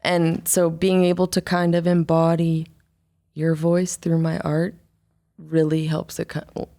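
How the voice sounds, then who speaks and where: Woman, 20s, artist guest, low voice, gravelly, rambling, halting. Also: little pitch movement, mellow. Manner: rambling, halting, many fillers and restarts. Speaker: album artist, interview guest. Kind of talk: casual conversation.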